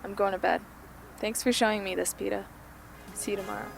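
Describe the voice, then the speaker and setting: A guarded, stubborn teenage girl hunter acted by a woman in a radio play. She is plain-spoken and modest, with a medium-pitched voice and faint noise behind it. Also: steady tone, fairly quick, gravelly, lightly acted, rough recording. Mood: subdued. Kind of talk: amateur radio drama acting.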